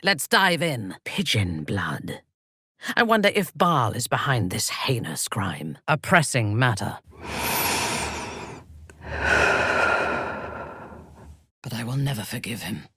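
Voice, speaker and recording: An actress playing a ruthless, haughty female warrior from the Underdark in a video game, speaking in a measured, imperious and menacing way. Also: rumbling low, sing-song, fairly bright tone, slightly rough, no echo, clean studio sound, heavily acted. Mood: quiet menace. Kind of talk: video game character voice lines.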